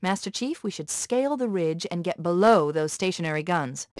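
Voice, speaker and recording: A woman voicing a quick-witted, analytical military artificial intelligence in a video game, with a crisp, authoritative manner. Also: lowish voice, lively intonation, darkish tone, no room sound, studio quality, natural voice. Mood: brisk, alert. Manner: crisp, precise, authoritative briefing.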